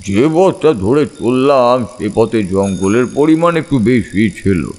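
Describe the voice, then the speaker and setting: A man narrating a scripted first-person ghost story in a suspenseful, measured storytelling manner. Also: medium pitch, lively intonation, a little mellow. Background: background music.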